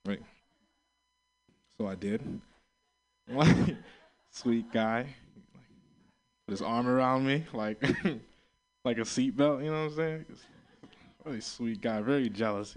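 A man from Florida doing a crude, laid-back stand-up set, chuckling at his own jokes, standing back from the stage mic. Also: medium pitch. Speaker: stand-up comedian. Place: comedy open mic room. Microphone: stage mic held back from the mouth.

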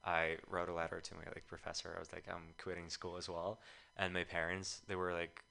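Young guy from ukraine, deep voice but bright, talks fast, chatty storyteller. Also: monotone, creaky. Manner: chatty storyteller, quotes himself often. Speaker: musician guest. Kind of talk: casual interview chat. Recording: radio show studio.

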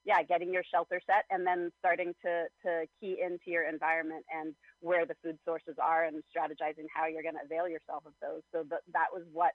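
A man with a somewhat high voice gives unhurried, considered answers, pausing on fillers as he goes. The sound is a bit dull and lo-fi, with a very dry, close feel. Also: level tone, no echo. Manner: thoughtful, measured, many fillers. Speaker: guest. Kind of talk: conversational interview answers.

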